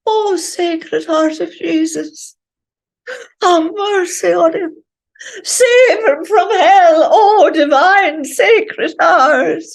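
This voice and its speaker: A woman's voice: drawn-out words, vocal fry, full character voice, rough recording. A woman voicing a dying mother's wailing prayer. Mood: tearful pleading. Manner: high, tearful wailing prayer.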